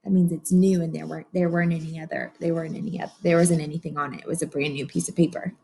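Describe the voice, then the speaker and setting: A woman reading a picture book aloud to young children as a teacher does, pausing to explain and ask simple, encouraging questions. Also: low-pitched, level tone, gravelly, mellow, slightly rough.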